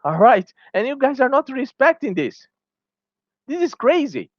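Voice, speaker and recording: A man's voice: very high voice, very animated, measured pace, no room sound, muffled recording. A man whose first language is Portuguese, a small gaming YouTuber giving a heated opinion vlog, repeating himself and restarting phrases, sounding tired.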